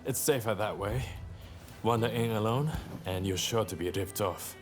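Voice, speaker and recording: A man's voice: on the low side, normal speed, soft background music, natural voice. A man playing an easygoing, cheeky young samurai gambler in a video game cutscene, with a breezy, teasing manner.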